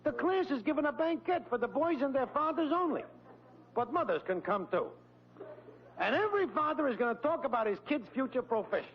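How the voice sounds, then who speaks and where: A man's voice: very high voice, expressive, fairly quick. An actor playing a smug, boastful middle-aged American neighbor and proud father, gloating and needling in a 1940s radio comedy. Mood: mocking, needling. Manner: gloating, mocking. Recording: muffled old-time radio recording with hum.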